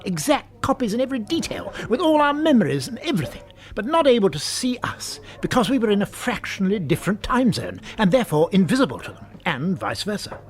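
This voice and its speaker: An actor with a British accent playing an eager, unflappable amateur scientist in a radio drama, explaining things with pedantic enthusiasm. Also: male voice, high voice, big pitch swings, fast talker, hardly any pauses. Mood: excited, explaining.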